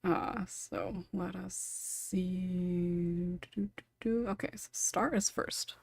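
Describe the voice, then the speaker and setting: A woman's voice: lowish voice, fairly even intonation, takes it slowly, gravelly, darkish tone, dry recording, studio quality. A woman hosting a casual group podcast, chatty and often giggly. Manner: giggly, laughs often between remarks. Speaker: podcast host.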